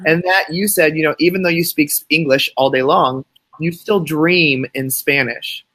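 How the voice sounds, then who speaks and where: A man's voice: on the high side, normal speed, sharp tone. A man hosting an interview show, talking with enthusiasm and often repeating his first words as he starts a sentence. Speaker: show host. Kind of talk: casual interview talk.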